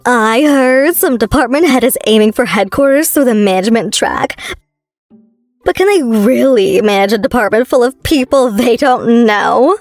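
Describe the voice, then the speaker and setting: High-pitched pouty young lady boss, acted by a woman. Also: lively intonation, normal speed, no room sound, faint music behind. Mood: grumbling. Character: young female boss, tipsy, pouty, lovelorn ex-girlfriend. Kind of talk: manga dub character acting.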